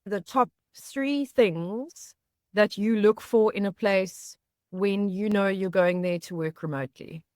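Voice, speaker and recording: A woman interviewer puts a question to her guest at a slow, even pace. Her voice sits at an ordinary middle pitch. The recording is dry, with little room sound. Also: no echo.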